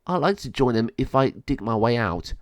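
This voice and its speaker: A man's voice: medium pitch, lively intonation, moderate pace, no room sound, lightly acted. A man voicing a friendly, determined caged dog with a plain-spoken, matey manner in a storybook reading. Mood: reassuring.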